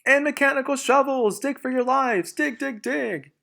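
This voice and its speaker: A man's voice: extremely high pitch, normal speed, gravelly, bright, forceful tone, voice pushed up, heavily acted. A man voicing an urgent father fox rallying his family in a read-aloud storybook. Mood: alarmed, urgent.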